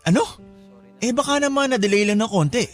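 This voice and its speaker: A man's voice: extremely high pitch, expressive, fast, soft background music. A man playing a callous, hot-headed boyfriend in his twenties, aggressive and dismissive, with a Filipino accent in a radio drama. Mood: wary, dismissive. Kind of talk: Tagalog radio drama acting.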